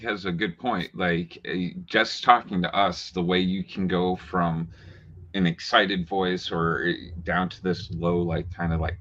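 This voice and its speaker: A man hosting a casual interview, talking in a relaxed, laid-back way. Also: sits low. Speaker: podcast host. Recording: dull lo-fi audio with faint background noise.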